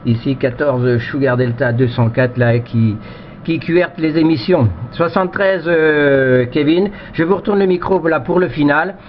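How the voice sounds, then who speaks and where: A man's voice: on the high side, unhurried. A man talking casually as an amateur radio operator, repeating himself in stop-start phrases over a two-way radio link. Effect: two-way shortwave radio transmission. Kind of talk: casual radio contact chat. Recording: muffled radio signal with static.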